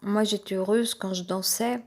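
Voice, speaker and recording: A woman with a cold, speaking in a halting, rambling monologue full of fillers and restarts. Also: mid-range voice, fairly even intonation. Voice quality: congested, nasal from a cold. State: has a cold.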